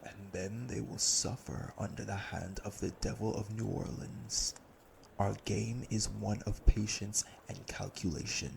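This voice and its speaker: An actor playing a cold, cruel, domineering vampire elder brother in an audio drama, speaking deliberately with gravelly menace. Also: male voice, fairly low pitch, fairly even intonation, background noise. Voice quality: gravelly, creaky. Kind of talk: dramatic audio roleplay acting.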